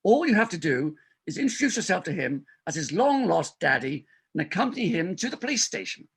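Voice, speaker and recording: A man's voice: sits high, sing-song, fairly quick, creaky, fairly bright tone, breathy edge, heavily acted. A man playing a desperate, insistent married doctor who cajoles a colleague in a stage farce. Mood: coaxing. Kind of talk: stage farce readers theater acting.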